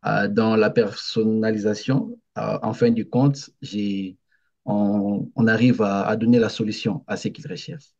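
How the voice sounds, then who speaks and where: A man's voice: fairly low pitch, steady tone, measured pace, creaky, a little mellow. A man who works as an adult education trainer, introducing himself in an online meeting with frequent hesitations. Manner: hesitant, many fillers. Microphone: computer mic on video call. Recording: dull video-call audio.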